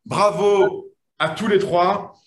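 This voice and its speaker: A man's voice: high-pitched, fairly even intonation, slow, roomy sound. A man hosting an online quiz final, interviewing the winners in a friendly, hesitant way with many fillers. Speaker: host.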